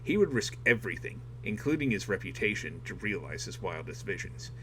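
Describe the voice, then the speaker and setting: A man's voice: lowish voice, big pitch swings, fairly quick, sharp tone, a little hiss or hum, poor audio. A man reading a nonfiction book aloud as dramatic scripted narration. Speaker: audiobook reader.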